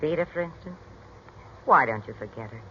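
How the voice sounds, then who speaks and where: A woman's voice: low voice, expressive, brisk. An actress playing a blunt, wry, cynical female friend in a 1940s radio drama. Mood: dry, wry. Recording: muffled, noisy 1940s radio recording.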